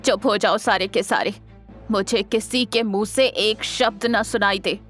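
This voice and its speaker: A woman's voice: medium pitch, steady tone, measured pace, a bit bright, faint music behind. A woman playing a domineering, scornful old grandmother in an audio drama, taunting and commanding. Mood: furious commanding. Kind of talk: Hindi audio drama acting.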